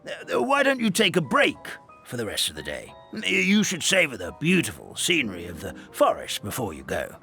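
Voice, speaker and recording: A man's voice: mid-range voice, huge pitch swings, moderate pace, vocal fry, few pauses, over music. An actor playing a kindly, grandfatherly old forest elder who stammers and speaks wistfully and fondly. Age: older. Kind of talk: game cutscene voice acting.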